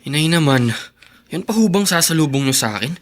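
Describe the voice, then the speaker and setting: A man's voice: fairly high pitch, lively intonation, fairly quick, fairly bright tone, no room sound. An actor playing a cocky, wheedling, slangy teenage boy in a radio drama. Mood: defensive. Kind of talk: Filipino radio drama acting.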